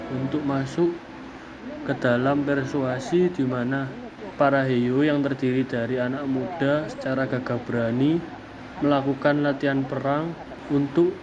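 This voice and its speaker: A young man gives an explanatory academic presentation as a student, speaking haltingly in short phrases. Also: medium pitch. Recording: dull lo-fi audio, clear background noise.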